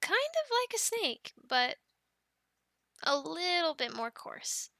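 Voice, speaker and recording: A friendly young dragon keeper in a fantasy audio roleplay, acted by a woman. Her high voice has frequent creak and a slow, encouraging delivery. She talks playfully and is recorded close and dry. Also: very animated, pushed and bright, dry recording, full character voice. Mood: gentle, instructing. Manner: playful, chatty, cooing to animals like pets. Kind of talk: ASMR roleplay, addressing the listener.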